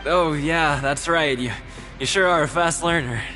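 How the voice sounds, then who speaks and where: A man's voice: on the high side, a little slow, sharp tone, faint music behind. An actor playing a big nervous male club member who stammers and hesitates while faking cheer.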